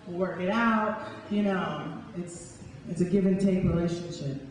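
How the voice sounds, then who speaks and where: A woman's voice: fairly low pitch, a little slow, a little mellow. Young New woman in an echoing hall. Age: young adult. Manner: dramatic, rhythmic, emphatic delivery when reciting. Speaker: spoken word poet. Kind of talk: live spoken word performance, with casual chat to the audience. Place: large indoor hall before an audience. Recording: echoey hall from far off, noisy.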